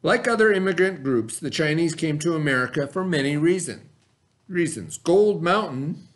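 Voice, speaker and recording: A man teaching through a read-aloud, pausing to explain things and put questions to his listeners. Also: on the high side, expressive.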